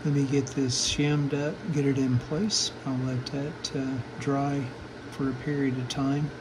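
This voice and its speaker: An older man giving a casual, matter-of-fact, halting narration of hands-on repair work at a workbench. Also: mid-range voice, level tone, noisy background. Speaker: vintage radio repair hobbyist, channel host.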